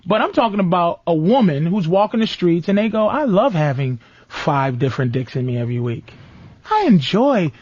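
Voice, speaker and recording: High-pitched blunt man, argumentative, dull recording. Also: sing-song, normal speed, a little mellow. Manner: emphatic, repeating points. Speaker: talk show host. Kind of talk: heated comic debate, crude casual talk. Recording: dull lo-fi dry studio audio.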